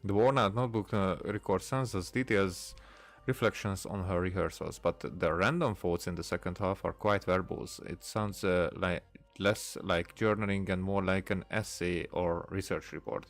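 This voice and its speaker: A man's voice: low-pitched, moderate pace, gravelly, faint music behind. A man hosting a casual game playthrough, commentating and reading dialogue aloud in a hesitant, stumbling way. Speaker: gaming video host.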